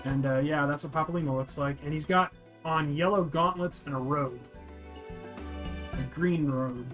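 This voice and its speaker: Sweary man describing a video game, high-pitched. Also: moderate pace. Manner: sweary, blunt. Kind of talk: casual play-by-play visual description. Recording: game music behind the voice. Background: video game music.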